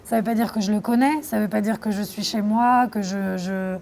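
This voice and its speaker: A young woman from France answering interview questions about her documentary, reflective and thoughtful, pausing often. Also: mid-range voice, creaky, darkish tone, a little hiss or hum. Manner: reflective, thoughtful, with frequent hesitations. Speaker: documentary filmmaker being interviewed.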